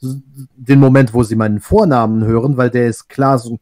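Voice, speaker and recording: A man talks through his views in a relaxed online discussion. He is thoughtful and often starts sentences over, at a middling pitch. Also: a little fast. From Russia. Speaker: discussion guest. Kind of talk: casual group chat.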